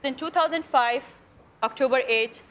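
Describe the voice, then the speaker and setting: A woman's voice: high-pitched, moderate pace, a little hiss or hum. A woman in her thirties with a Pakistani accent, a game show contestant gushing in broken English on a TV studio stage. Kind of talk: excited on-stage chat. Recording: loud studio audience and music. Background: studio audience and show music.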